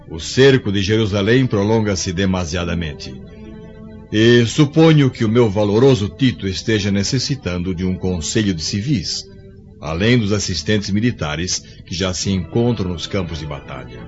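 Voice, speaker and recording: Deep commanding roman emperor male actor, formal radio play, muffled recording, soft music behind. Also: lively intonation, moderate pace, close to an everyday voice. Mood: stately, confiding. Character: authoritative Roman emperor, a courteous older man and father. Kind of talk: formal radio drama acting.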